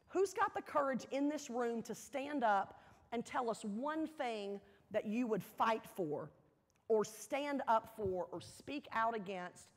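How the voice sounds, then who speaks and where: A woman hosting a live event, speaking to an audience in an encouraging, direct way and prompting them to take part. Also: a little high. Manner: encouraging, direct, asks questions of the crowd. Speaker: host, speaker leading an audience. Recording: echoey hall with audience noise.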